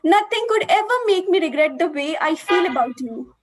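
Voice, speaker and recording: Very high-pitched lovelorn woman, read by a woman. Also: lively intonation, moderate pace. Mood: tender, devoted. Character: lovelorn woman confessing love to a friend. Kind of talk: reading a film monologue aloud. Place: online video call.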